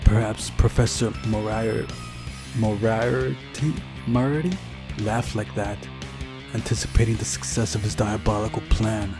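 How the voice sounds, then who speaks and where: A man's voice: lowish voice, a little slow. A man reading a visual novel aloud as the narrator of a playthrough. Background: music.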